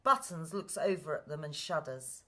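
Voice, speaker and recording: A woman's voice: low-pitched, animated, moderate pace, bright, forceful tone. A woman narrating scripted stage directions for a radio play.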